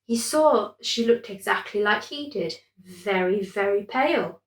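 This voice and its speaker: A woman's voice: mid-range voice, expressive, measured pace, roomy sound, poor audio. A woman reading a scripted bedtime story as its narrator.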